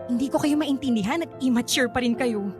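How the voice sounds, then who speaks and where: A woman's voice: a little high, a little fast, natural voice. An actress playing a feisty, sulky young Filipino woman in a Taglish radio drama, sassy and taunting. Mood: teasing, warm. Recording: music bed behind the voice.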